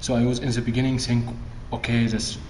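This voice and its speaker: Flat-toned man, English. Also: mid-range voice. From Egypt. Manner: non-native English, halting grammar. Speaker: theatre performer. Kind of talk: festival interview. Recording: echoey room with faint noise.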